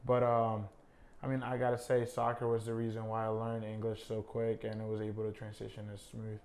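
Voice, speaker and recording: A young college soccer player from Honduras, a native Spanish speaker, talking casually in an interview with many fillers. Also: male voice, fairly low pitch, a little fast, slight background noise. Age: young adult. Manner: relaxed, many fillers like "I mean" and "uh".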